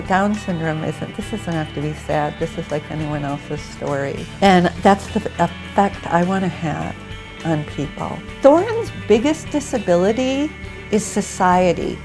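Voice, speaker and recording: A middle-aged woman, an author and parent, speaking candidly and conversationally in an interview. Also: medium pitch.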